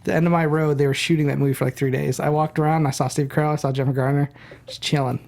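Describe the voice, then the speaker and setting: A man co-hosting a casual podcast, playful and quick to laugh. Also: on the high side, little pitch movement, creaky, darkish tone. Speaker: podcast co-host.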